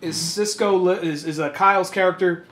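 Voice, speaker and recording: A man co-hosting a podcast, giving casual, animated commentary on a comic with joking profanity. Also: high voice, moderate pace, echoey room. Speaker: podcast co-host.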